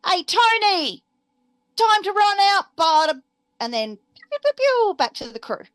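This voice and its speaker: A woman's voice: very high-pitched, big pitch swings, measured pace, a bit bright. A playful, irreverent woman, chatting casually as a player in a tabletop game.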